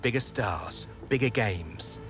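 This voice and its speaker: Cheeky British football agent, music behind. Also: male voice, fairly low pitch, lively intonation, slow pace. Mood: excited, hyping. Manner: chatty, slangy, teasing showmanship. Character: cheeky, excitable male football agent in a suit. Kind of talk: video game cutscene acting.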